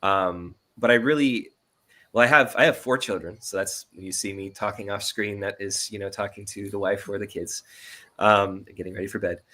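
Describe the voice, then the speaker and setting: A low-voiced man in his thirties with a bright, forward tone. He speaks casually as a guest in an interview, easygoing and sincere, often circling toward his point. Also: fairly even intonation, moderate pace. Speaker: audio drama producer and editor, interview guest.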